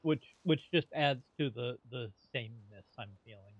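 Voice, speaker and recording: A man giving casual let's play commentary, wry and halting as he thinks aloud. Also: medium pitch, dull audio. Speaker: gaming video creator.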